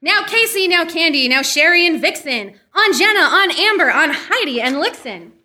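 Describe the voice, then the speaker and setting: A woman's voice: very high voice, lively intonation, normal speed, pushed and bright. A woman performing a comic parody poem live with theatrical storybook delivery. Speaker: live variety show performer. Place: live event at a comic book shop.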